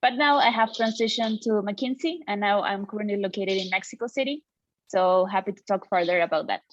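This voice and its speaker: A young woman from Peru, introducing herself on a panel in a friendly, halting way, on a video call. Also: medium pitch, no echo. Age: young adult. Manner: friendly, with hesitations and restarts. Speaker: alumni panelist. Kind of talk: casual self-introduction. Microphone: computer mic on a video call. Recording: dull dry call audio.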